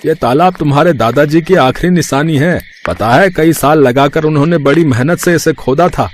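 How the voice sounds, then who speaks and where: A man playing a gentle village father who talks patiently and fondly, like telling family history, in a storybook tale. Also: a little high, moderate pace, slight background noise, lightly acted. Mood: fond, reflective. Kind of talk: storybook character acting.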